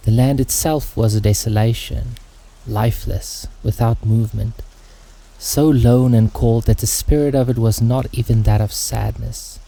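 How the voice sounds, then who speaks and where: A man narrating fiction as a dramatic audiobook, in a grave, measured and deliberate way. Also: medium pitch, steady tone, gravelly, dark tone, poor audio.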